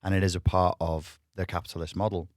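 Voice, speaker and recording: A man's voice: deep voice, little pitch movement, quick pace, dry recording, clean studio sound. A man talking as a podcast guest, blunt and provocative, laughing often as he argues. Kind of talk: casual debate chat.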